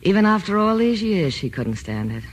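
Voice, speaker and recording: An American actress playing a spoiled, willful young heiress in 1940s radio drama style. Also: female voice, medium pitch, very animated, moderate pace, a little hiss or hum, close to an everyday voice. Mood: bitter, cool. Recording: old radio broadcast, music underneath.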